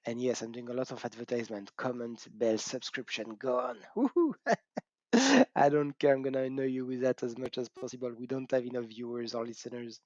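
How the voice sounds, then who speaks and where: A man's voice: medium pitch, fairly quick, a little mellow, no room sound. French-accented middle-aged man, dull cheap mic. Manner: chatty and self-mocking, laughs often, whoops. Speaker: gaming channel host. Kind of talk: casual talk to camera.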